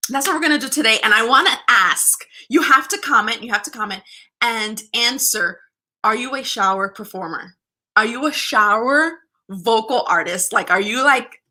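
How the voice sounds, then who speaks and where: Bright, bubbly woman hosting a livestream. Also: on the high side. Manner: bubbly, greets viewers by name. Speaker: host. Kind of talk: casual livestream chat.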